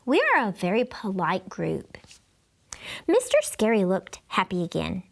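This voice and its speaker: A woman reading a children's storybook aloud in expressive narration. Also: mid-range voice, a little slow, vocal fry. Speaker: storybook reader.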